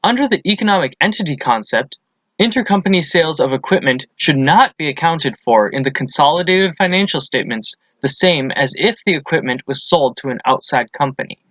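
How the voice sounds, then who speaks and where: Higher-voiced man narrating a methodical lesson. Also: lively intonation, a little fast, a bit bright, no room sound. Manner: methodical, explanatory. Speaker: accounting lesson presenter. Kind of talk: scripted instructional narration.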